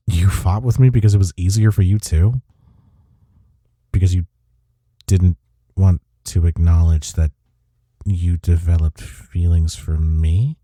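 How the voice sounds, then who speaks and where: Man acting a deep, dark-voiced romantic rival. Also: dry recording, studio quality. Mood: stunned, amused. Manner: hesitant, halting, breathy sighs and murmurs. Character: young male coworker rival confessing love, teasing and tender. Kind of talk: intimate romantic audio roleplay acting.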